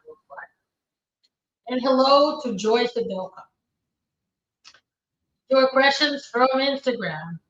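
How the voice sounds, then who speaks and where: A woman attorney, originally from Ukraine, hosting a live stream and reading viewers' questions aloud. She answers each one briskly and matter-of-factly, with frequent fillers. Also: fairly high pitch, fairly bright tone, a little hiss or hum. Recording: roomy, distant, dull live-stream audio.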